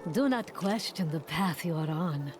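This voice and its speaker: An actress playing a motherly, gently teasing mystic seer in a fantasy video game, with a portentous, knowing manner. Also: deep voice, animated, measured pace, loud music behind the voice.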